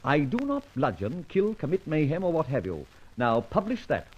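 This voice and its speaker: A suave British gentleman thief in an old radio detective serial. He is articulate, flippant and easily indignant, with a highish voice that swings widely in pitch. There is light noise on the old recording. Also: wildly swooping pitch, normal speed, dull audio. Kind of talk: old-time radio detective serial acting with first-person narration.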